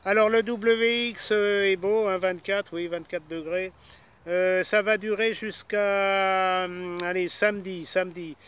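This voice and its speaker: High, bright-voiced man on amateur radio. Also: little pitch movement, unhurried, smooth. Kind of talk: casual radio chat. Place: outdoors at a portable station in a park.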